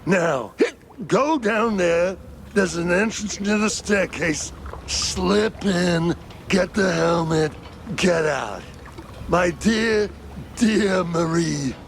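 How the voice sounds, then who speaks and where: A man's voice: high-pitched, drawn-out words, a little hiss or hum, heavily acted. A man voicing a self-loathing drunkard husband in a cartoon game, hesitant and maudlin as he pleads.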